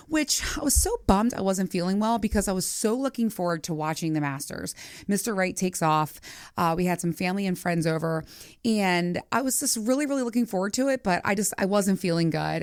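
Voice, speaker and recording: A woman hosting a podcast, talking candidly and casually and telling stories in an animated, chatty way. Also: lowish voice, dry recording, studio quality. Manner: animated storytelling, acting out quoted reactions.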